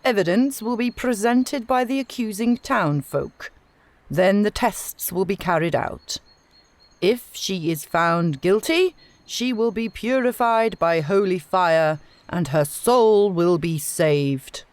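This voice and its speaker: Woman acting a slow, pompous male witch-finder. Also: mid-range voice, animated, no echo, pitched above the performer's own voice. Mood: righteous, zealous. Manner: pompous, declamatory. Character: arrogant, zealous male witch-finder, stern and righteous. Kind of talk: dramatised storybook reading.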